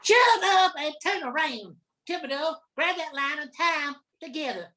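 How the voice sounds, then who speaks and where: A woman's voice: sits high, lively intonation, normal speed, pushed and bright. An older woman playing a menacing, bossy bayou robber in a radio play.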